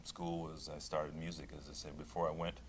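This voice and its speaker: A middle-aged male opera singer talking in a relaxed interview, thoughtful, with many fillers and restarts. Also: a bass voice, fairly quick, slight background noise. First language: English. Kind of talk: casual interview talk.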